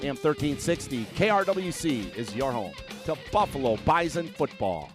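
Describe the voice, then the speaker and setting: A man's voice: mid-range voice, big pitch swings, normal speed. An American man, a radio sportscaster, reading a scripted promo for an upcoming broadcast. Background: guitar music bed.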